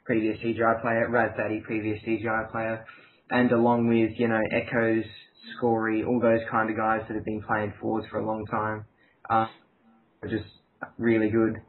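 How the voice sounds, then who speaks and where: A man's voice: on the low side, completely flat delivery, normal speed. A man giving team news in a casual, hesitant, matter-of-fact way. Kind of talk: casual team audio log. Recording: muffled lo-fi voice chat, faint noise.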